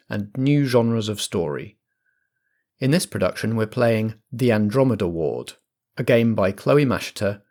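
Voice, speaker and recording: A man hosting a role-play podcast as compere, with a measured, theatrical scripted delivery. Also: mid-range voice, a little mellow. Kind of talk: scripted narration and introduction.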